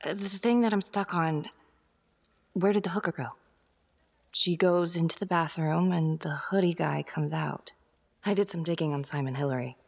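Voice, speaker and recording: A woman's voice: moderate pace, a little mellow. A woman playing a driven, suspicious police detective in a naturalistic game drama, terse and intense with a wry edge. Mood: intent, suspicious. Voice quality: low, husky, creaky. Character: driven, suspicious female police detective on a serial killer case.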